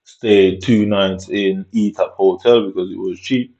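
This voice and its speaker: A man's voice: low voice, fairly even intonation, measured pace, dark tone. A man telling a story in a casual monologue, in streetwise slang, swearing and tagging lines with "innit". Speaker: vlogger. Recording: dull lo-fi recording.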